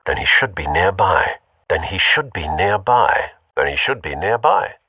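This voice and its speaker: An actor playing a dry-witted, dutiful older butler in a video game, formal and gently sardonic. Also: male voice, low-pitched, very animated, measured pace, slightly rough, dry recording, distant mic, full character voice. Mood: dry, concerned.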